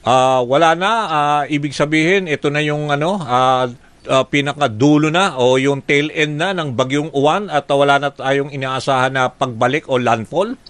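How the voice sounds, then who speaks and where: A man's voice: fairly high pitch, moderate pace, a bit bright, dry recording. A man with a Filipino accent anchoring live radio news coverage, interviewing in a conversational, hesitant way with frequent fillers. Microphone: close studio microphone.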